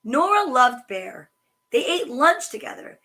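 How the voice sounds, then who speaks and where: A woman hosting a children's story time, reading picture books aloud with chatty asides in a sing-song, animated way. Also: high-pitched, a little slow.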